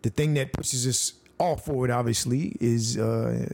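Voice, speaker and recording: A man from the United States hosting a podcast interview, halting and jokey, stumbling through many restarts and fillers. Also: mid-range voice, darkish tone, slight background noise. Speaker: podcast host. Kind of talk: casual interview.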